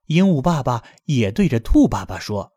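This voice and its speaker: A man reads a children's bedtime story with a gentle, lively telling and a very animated melody. His voice is a little husky. The recording is close and dry. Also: mid-range voice, normal speed, no echo.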